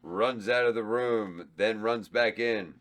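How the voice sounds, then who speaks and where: Low male voice reading script directions, plain and steady. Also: slow pace. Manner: flat stage directions, occasional self-corrections. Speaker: stage-direction reader.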